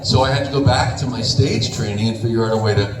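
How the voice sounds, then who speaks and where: A man in his sixties, a voice actor, talking as a guest on a convention panel and telling animated stories. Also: medium pitch, quick pace. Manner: animated storytelling with laughs and acted-out quotes. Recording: roomy hall audio from a distance with noise.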